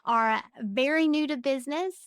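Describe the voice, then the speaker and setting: A woman's voice: high-pitched, moderate pace, dry recording, studio quality. A woman hosting a podcast, upbeat and encouraging as she interviews a guest. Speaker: podcast host. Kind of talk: scripted intro then casual interview.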